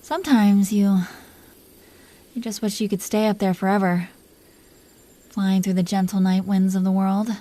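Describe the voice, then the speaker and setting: Dragon queen , female performer: mellow, mid-pitched, soft and halting, playfully possessive and affectionate; intimate romantic audio roleplay. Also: steady tone, close to an everyday voice. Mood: gentle, wistful.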